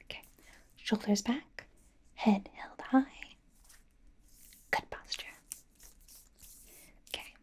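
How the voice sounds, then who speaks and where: A woman whispers softly and breathily in an ASMR personal-attention roleplay. She plays a bubbly young idol stylist who dotes on the listener, coaxing and reassuring with playful, chatty asides. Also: on the high side, drawn-out words, long pauses. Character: bubbly, doting young female pop idol playing stylist.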